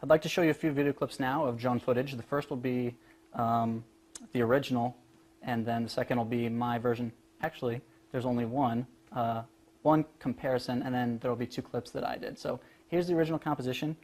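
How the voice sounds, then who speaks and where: A young man giving a prepared student presentation, explaining his work in a polite, measured way. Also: mid-range voice, steady tone, darkish tone. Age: young adult.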